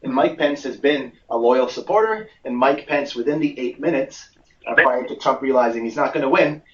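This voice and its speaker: A man giving explanatory commentary as a guest analyst on a conference call, speaking in a measured, halting way and repeating phrases for emphasis. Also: medium pitch, background noise. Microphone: conference call line. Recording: muffled, echoey, far from the mic.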